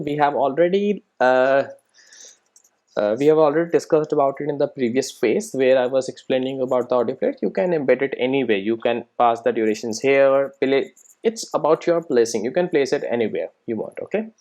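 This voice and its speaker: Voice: medium pitch, fairly quick, darkish tone. A person giving an informal live coding tutorial, thinking aloud and often checking in with "right" and "okay". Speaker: programming tutorial presenter.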